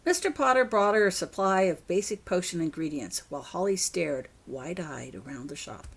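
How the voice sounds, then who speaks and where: A woman's voice: fairly low pitch, animated, normal speed, breathy edge. A woman reading her own fiction aloud as scripted storybook narration.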